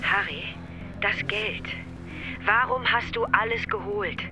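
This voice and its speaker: A woman's voice: mid-range voice, slow pace, close to an everyday voice. An actress playing a hurt, reproachful wife and mother in a radio drama, with music behind her.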